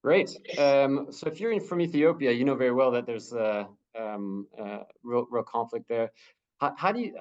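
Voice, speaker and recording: A man speaking as a humanitarian spokesperson on a video call, answering questions in a halting way, with many fillers and restarts. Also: medium pitch, lively intonation, darkish tone, muffled recording.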